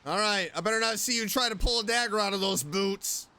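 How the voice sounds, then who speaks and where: A woman's voice: mid-range voice, a little fast, bright, forceful tone. A woman giving casual playthrough commentary as a streamer, reacting in a joking, mock-tough way.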